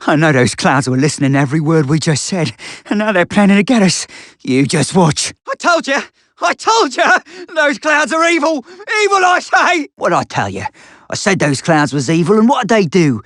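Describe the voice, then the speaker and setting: Paranoid hermit creature for a video game: high, cartoonish, swinging pitch, folksy and cranky grumbling. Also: male voice, no echo, clean studio sound. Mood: paranoid, conspiratorial, then alarmed, indignant. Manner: folksy, grumbling, excitable rustic speech. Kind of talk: video game character voice lines.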